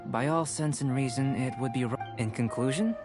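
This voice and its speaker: A man voicing a calm, cerebral young alchemist scholar in a video game, measured, formal and coolly detached. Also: mid-range voice, close to an everyday voice. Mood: matter-of-fact. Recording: music behind the voice.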